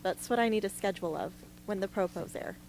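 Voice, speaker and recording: Radio drama lead: woman as defiant young rebel, curt and troubled, middle pitch, slight noise. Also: fast talker, gravelly, lightly acted. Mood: curt, weary. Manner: blunt, curt, wounded. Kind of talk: amateur radio drama acting.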